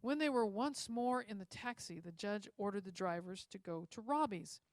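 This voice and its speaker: A woman reads a story aloud with expressive storytelling delivery. Her voice sits at a middle pitch, and the recording is dry with little room sound. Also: moderate pace, no room sound. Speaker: story reader.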